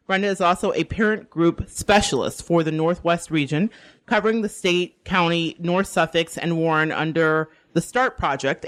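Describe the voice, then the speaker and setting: A woman from the Bronx hosting a radio talk show, introducing a guest and interviewing her, laughing often. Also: lowish voice. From the Bronx, New York.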